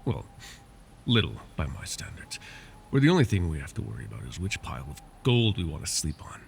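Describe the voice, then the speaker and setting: Man doing a scheming dragon, teasing, possessive, very deep, lively pitch swings, gravelly, soft whispers. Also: fairly quick, a little hiss or hum, full character voice. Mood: coaxing. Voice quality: gravelly, low. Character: possessive, scheming male dragon keeping a captive. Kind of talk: ASMR fantasy roleplay.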